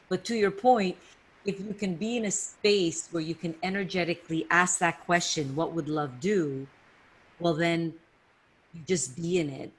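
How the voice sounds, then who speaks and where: A woman's voice: sits low, poor audio. A woman hosting a casual, heartfelt podcast conversation, reflective and full of restarts. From Canada or US, Italian family. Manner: reflective, many restarts and fillers. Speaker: podcast host.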